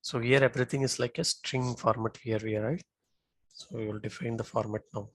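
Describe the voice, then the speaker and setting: Man, software trainer, screen-share tutorial, halting step-by-step delivery, dry close recording. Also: medium pitch, steady tone, no echo.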